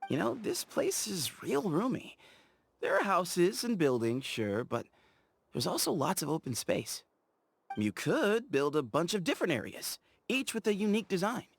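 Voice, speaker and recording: Game character, relaxed, playful young adventurer; bouncy delivery, slightly raised pitch. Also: male voice, normal speed, vocal fry, faint music behind, heavily acted. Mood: easygoing. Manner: relaxed, chatty, teasing, laughs easily. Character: easygoing, playful young desert explorer man.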